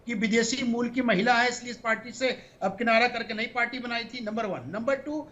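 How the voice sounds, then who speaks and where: Man, high bright voice, combative debater, dull lo-fi audio. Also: fairly quick. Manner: combative, talks over interruptions. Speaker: party spokesman. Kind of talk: heated TV panel debate.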